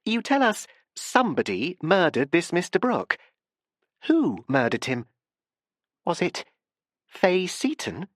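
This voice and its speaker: A man reading an audiobook, voicing a nervous, agitated young woman who speaks in a high, hurried way. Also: wildly swooping pitch, fairly bright tone, no echo, studio quality, heavily acted.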